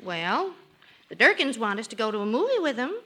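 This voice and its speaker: Exasperated high-pitched American housewife. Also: female voice, very animated, brisk, bright, forceful tone, heavily acted. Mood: weary, wistful. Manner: exasperated, indignant. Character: exasperated middle-class wife in her late thirties, peppy and sharp. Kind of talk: 1950s American radio sitcom acting.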